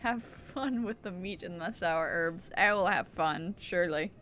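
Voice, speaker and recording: A woman's voice: lowish voice, unhurried, a bit bright, soft background music. A woman streamer giving casual, wry and playful asides while playing a game. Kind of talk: casual live game commentary.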